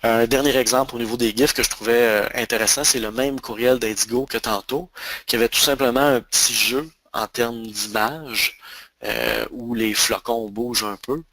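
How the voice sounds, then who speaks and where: Bright-voiced Quebec French man presenting. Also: medium pitch, steady tone, normal speed, dry recording. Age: 30s. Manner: hesitant, many fillers and restarts. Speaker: email marketing expert. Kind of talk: webinar presentation.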